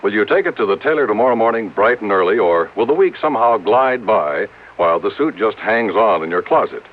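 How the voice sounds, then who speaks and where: A man's voice: fairly low pitch, animated, brisk. An American man working as a 1950s radio announcer, reading scripted copy in a formal, emphatic, rhetorical way. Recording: narrow, tinny vintage radio recording.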